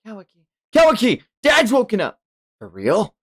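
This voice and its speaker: Man putting on an excitable high-pitched ninja boy. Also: normal speed, exaggerated voice. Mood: excited. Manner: loud, exclaiming.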